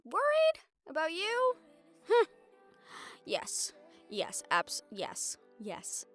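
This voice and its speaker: A young woman playing an affectionate, teasing girlfriend in an intimate audio roleplay, speaking in a halting, tender and wry way. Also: extremely high pitch, huge pitch swings, fairly bright tone, soft background music, exaggerated voice. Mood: earnest, tender. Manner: halting, tender, wryly teasing, casually sweary.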